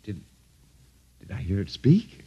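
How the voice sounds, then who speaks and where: Stern colonel, very animated delivery. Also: male voice, deep voice, a little slow, breathy edge, dry recording, full character voice. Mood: unnerved. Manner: commanding, blunt, exasperated. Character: stern, short-tempered army colonel and father. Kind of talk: 1950s radio drama acting.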